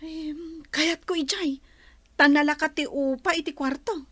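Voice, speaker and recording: An actress playing a stubborn, carefree gambling wife in an Ilocano radio drama. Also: female voice, sits high, measured pace, bright, forceful tone, dry recording. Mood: insistent.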